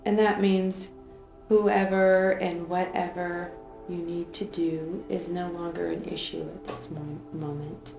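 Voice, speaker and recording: A woman leading an unscripted guided meditation in a soothing, drawn-out way, gently repeating phrases. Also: on the low side, little pitch movement, creaky. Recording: roomy echo, faint music underneath.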